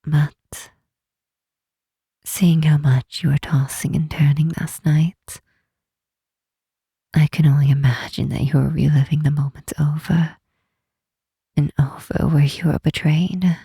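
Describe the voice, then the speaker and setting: A woman voicing a protective, commanding yet tender Demon Queen in an ASMR roleplay, speaking softly and breathily, often whispering, in a soothing, gently insistent way. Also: sits low, steady tone, unhurried, long pauses, slightly rough, no room sound, studio quality, full character voice. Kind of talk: ASMR roleplay, intimate comforting monologue.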